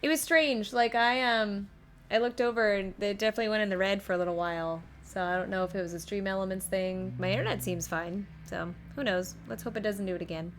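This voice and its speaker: A woman's voice: medium pitch, quick pace, slight background noise. A woman giving casual, playful live commentary as she streams a game. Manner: playful, animated. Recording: faint game music behind.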